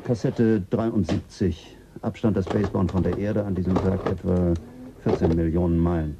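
An actor playing a measured mission official explaining a space voyage in a German radio drama, with a husky voice. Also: male voice, low-pitched, steady tone, highs cut off, noisy background. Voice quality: husky, gravelly.

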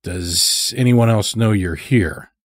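A man acts out a curt, guarded leader of a sacred order in a dark audio tale. His voice is husky and dry, with little room sound. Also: lowish voice, takes it slowly, no echo. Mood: wary, questioning. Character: guarded, terse male lodge master of a sacred order.

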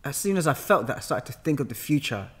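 A man in his thirties with a London accent, chatting and telling stories in an animated, bantering way full of slang. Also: mid-range voice, quick pace. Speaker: podcast guest, actor. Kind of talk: casual conversational storytelling. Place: bright living room, on a sofa. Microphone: podcast mic on a boom arm.

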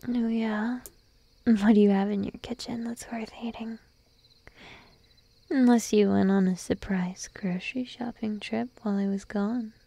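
A woman voicing a shy, needy young sheep-girl girlfriend in an intimate ASMR roleplay, soft, hesitant and drowsy, mostly whispering. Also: medium pitch, fairly even intonation, gravelly, no room sound. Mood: sleepy, pleading. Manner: timid, hesitant, drowsy, slow and cooing.

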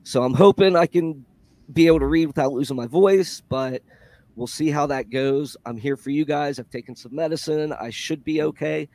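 A man's voice: fairly high pitch, normal speed, a little mellow, no echo. A sick man speaks as co-host over a video call. His voice sounds dry and close. He talks in a friendly, grateful way. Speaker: co-host and debater. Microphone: headset and desk mic on video call.

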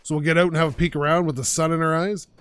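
Man, gaming commentary, slightly high voice, pushed-out and loud, rambling, self-mocking, home mic. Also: little pitch movement, racing, smooth. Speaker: gaming channel host. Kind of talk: casual let's-play commentary. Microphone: home microphone while playing on a couch.

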